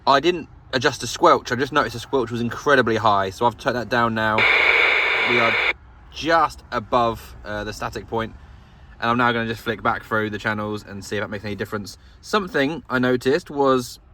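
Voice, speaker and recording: A man's voice: medium pitch, moderate pace, bright, forceful tone. British man, wry casual vlogger. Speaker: radio hobbyist vlog host. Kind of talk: casual vlog chat to camera. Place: inside a parked pickup truck.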